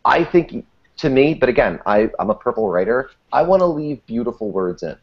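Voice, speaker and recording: A man's voice: mid-range voice, very animated, moderate pace, lo-fi sound. A man in a casual podcast discussion about his craft, speaking emphatically and eloquently, piling up ornate phrases. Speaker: podcast panelist and writer.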